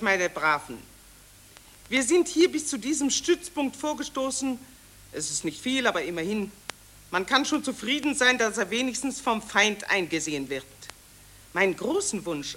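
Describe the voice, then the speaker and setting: A woman's voice: medium pitch, bright, forceful tone. An actress playing a gushing, self-important female war reporter in a satirical radio drama, declaiming in an affected, theatrical way.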